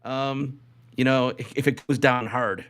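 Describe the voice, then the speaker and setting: A man's voice: medium pitch, steady tone, unhurried, a bit bright, clean tone. A man hosting a casual comedy podcast, talking in a rambling, joking way and commenting as he reads from a list. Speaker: podcast host.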